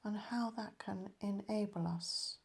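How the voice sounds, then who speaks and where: A woman leading a guided meditation as a teacher, calm and measured, letting her phrases end softly. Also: mid-range voice, gravelly.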